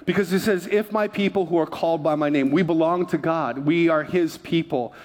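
High-pitched male preacher, emphatic. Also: brisk. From Hawaii, United States. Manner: emphatic, repeats phrases, prompts the listeners. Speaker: pastor preaching to a congregation. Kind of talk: sermon.

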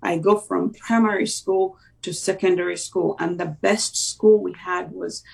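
A woman's voice: lowish voice, fairly even intonation, unhurried, vocal fry, darkish tone, distant mic, rough recording. A woman from Uganda, a native Luganda speaker, telling her life story reflectively with many "you know" fillers. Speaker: guest sharing testimony. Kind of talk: personal testimony in conversation.

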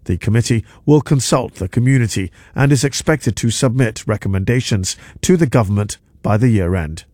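A man reads radio news headlines in a measured, formal way. His voice sits at an ordinary pitch. The studio sound is dry and close. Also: a little mellow, no room sound. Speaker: newsreader. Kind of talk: news bulletin reading.

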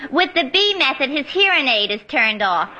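A woman's voice: high-pitched, very animated, moderate pace, very noisy. An actress playing a naive, brassy young woman in a 1950s radio comedy, with a dim, sing-song delivery.

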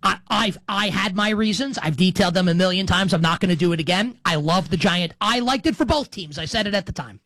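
A man's voice: high voice, brisk, hardly any pauses, pushed and bright, dry recording. Middle-aged male sports talk host, dry sound. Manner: conversational, digressive, repeats and qualifies himself for emphasis. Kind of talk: casual sports talk monologue.

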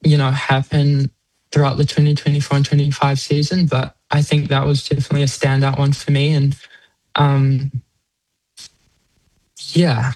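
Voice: mid-range voice, completely flat delivery, normal speed, pauses often, darkish tone, poor audio. A young adult athlete in their late teens with a New Zealand accent, answering interview questions conversationally and thoughtfully, with many small fillers. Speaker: competitive swimmer being interviewed.

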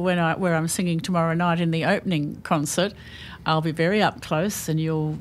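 A woman with an Australian accent, a singer chatting as a guest in a radio interview, lively and quick to laugh. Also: on the low side, a little hiss or hum.

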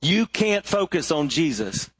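A middle-aged male pastor preaching a sermon, swinging between rising emphasis and hushed, low moments. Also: big pitch swings, measured pace, breathy edge, no echo.

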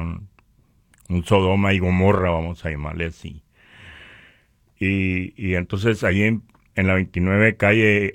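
Mexican guy, deep voice, telling his testimony, kinda halting and repeats words, dry sound. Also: vocal fry, fairly bright tone, no room sound. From northern Mexico. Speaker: radio guest giving religious testimony. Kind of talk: personal testimony, conversational.

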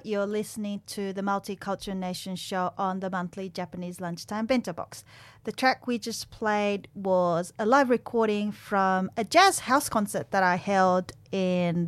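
A woman hosting a casual radio interview, talking as a presenter to her guest. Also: medium pitch. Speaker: radio show host and interviewer.

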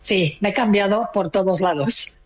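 A woman's voice: mid-range voice, moderate pace. Older mexican lady calling in, chatty, proud, easygoing talk. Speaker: caller. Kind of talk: casual chat.

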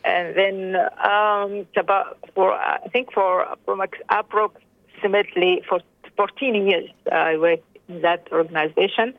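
A middle-aged woman talks as a guest over the phone, hesitant and full of fillers. Her voice is gravelly and sits at a middle pitch. The line is tinny and dry. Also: steady tone, a little slow, no room sound. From Sudan. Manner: hesitant, many fillers and restarts. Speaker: interview guest. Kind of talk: phone interview.

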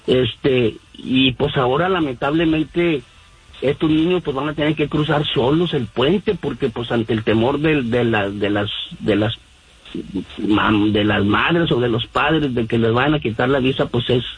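Caller: husky man, rambling, lots of fillers, on a phone line. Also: mid-range voice, measured pace. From Mexico. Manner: rambling, many fillers and restarts. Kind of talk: phone-in radio call.

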